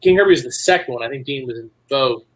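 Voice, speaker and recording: A man's voice: mid-range voice, quick pace, fairly bright tone, low-quality recording. A man speaking as a writer guest in a casual interview, telling things conversationally.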